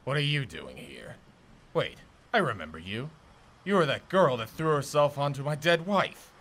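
A man's voice: medium pitch, big pitch swings, moderate pace, a bit bright. A man playing a bitter, contemptuous older blacksmith in ancient Greece, haughty and declamatory, in an audio drama. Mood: gruff, dismissive. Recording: noticeable background noise.

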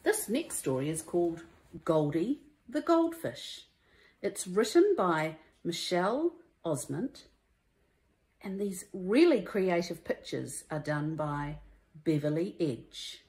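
A woman reads a children's storybook aloud like a primary teacher, in a deliberate, emphatic and expressive way. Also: lowish voice. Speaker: primary school teacher reading a story to young pupils.

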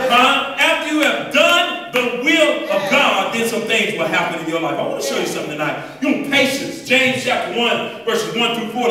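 An older man preaching a sermon to a congregation, calling out and drawing responses in a loose, colloquial way, on a handheld mic. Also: very high voice. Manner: call-and-response preaching, colloquial, calls out "huh?". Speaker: pastor. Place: church hall, walking the floor before a congregation. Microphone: handheld microphone, filmed by a far camera. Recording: echoey hall from far off, congregation.

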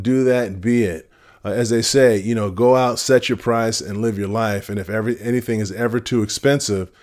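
A man in his fifties with a deep voice, giving earnest advice straight to camera in a casual vlog, with fillers and restarts. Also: darkish tone. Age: 50s. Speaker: retirement vlogger. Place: home room with shelves of vinyl records. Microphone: camera at close framing.

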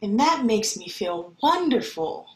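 A woman teacher reading a picture book and talking to young children in an expressive, deliberate, child-directed way. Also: fairly high pitch. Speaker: schoolteacher reading to pupils. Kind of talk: picture-book read-aloud and talk to children.

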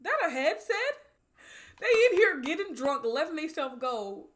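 A woman in her twenties giving casual, slangy, animated reaction commentary and swearing freely. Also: sits high, normal speed. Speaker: movie reaction host. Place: small indoor room set up as a streaming studio.

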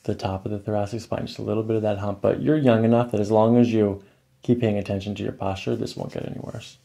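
A man in his thirties with a low, creaky voice talks like a clinician at work. He goes step by step, checking in with questions and explaining the exam as he does it. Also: fairly even intonation, fast talker, warm tone. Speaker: chiropractor. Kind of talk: clinical patient consultation and exam commentary. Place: small carpeted chiropractic clinic room and hallway.

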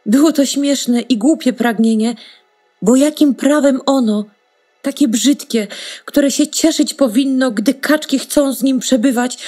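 A woman playing a sad, lonely young duckling in an audio drama, speaking in a plaintive, drawn-out way. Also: sits high, dark tone. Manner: plaintive, drawn-out, repeating words for emphasis.

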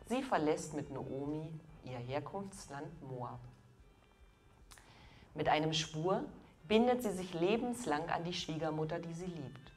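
A woman in her fifties gives a prepared sermon in a low voice. Her phrasing is measured and deliberate, broken by pauses. Faint music plays behind her. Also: expressive, darkish tone. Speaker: preacher. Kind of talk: scripted sermon.

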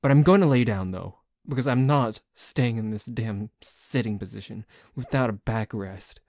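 A man playing a dorky, grumpy, possessive but secretly tender boyfriend in an ASMR roleplay, grumbling haltingly with sighs. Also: lowish voice, a little mellow, dry recording, studio quality, natural voice. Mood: grudging, exasperated.

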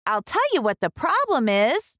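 A woman's voice: sits high, very animated, normal speed, fairly bright tone, dry recording, studio quality. A woman voicing an exasperated, emphatic female police officer in a children's storybook.

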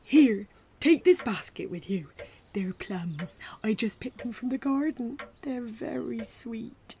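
A woman's voice: fairly high pitch, takes it slowly, dark tone, slight background noise. An actress playing an anxious, tearful, doting older woman in an audio drama. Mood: tearful, apologetic.

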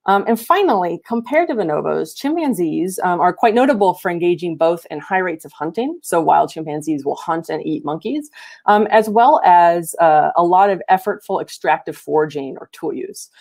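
A woman's voice: fairly low pitch, lively intonation, moderate pace, creaky, darkish tone. A woman giving an explanatory research seminar talk over a video call, sometimes repeating words as she goes. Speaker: academic researcher presenting.

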